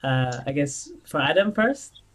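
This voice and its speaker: A man hosting an interview in a conversational style, asking questions in a halting way. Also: fairly high pitch, expressive. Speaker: interview host.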